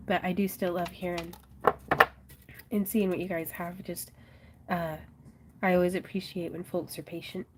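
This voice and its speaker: A woman's voice: lowish voice, little pitch movement, moderate pace, pauses often. A woman hosts a craft livestream from home, chatting easily and reading out comments from viewers as she works. Her voice is congested and wearing thin from a sinus infection, with a faint hiss behind it. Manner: chatty, reads viewer comments aloud, frequent soft laughs. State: congested and losing her voice, coughing. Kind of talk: casual livestream chat while crafting.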